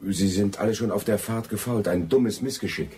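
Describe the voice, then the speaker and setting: An actor playing a condescending, smooth-talking gentleman and false friend in a German radio drama. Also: lowish voice, normal speed, slight background noise, natural voice. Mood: placating.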